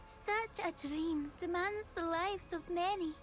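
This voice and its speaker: A woman's voice: extremely high pitch, slow pace, music in the background. A woman playing a shy, earnest young fawn spirit in a video game. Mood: wistful.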